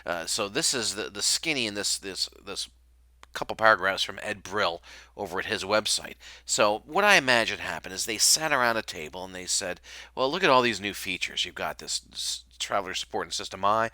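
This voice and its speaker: A man's voice: lowish voice, bright, forceful tone, no echo. A man hosting a show, talking casually and jokingly over slides. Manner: informal, joking. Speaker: show host. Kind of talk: casual talk over slides, introducing a guest.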